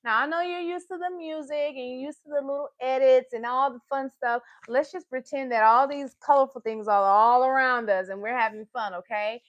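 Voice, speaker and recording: A woman hosting a children's storytime livestream, chatting to small children and parents in an animated, encouraging, sing-song manner. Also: high-pitched, normal speed, few pauses, no echo, highs cut off.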